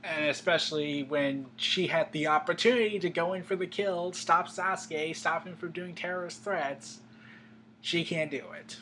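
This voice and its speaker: A man's voice: on the high side, moderate pace, pushed and bright, low-quality recording. A young man giving firm opinions in a casual anime chat, often restarting his sentences. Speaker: co-host. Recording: faint background hum.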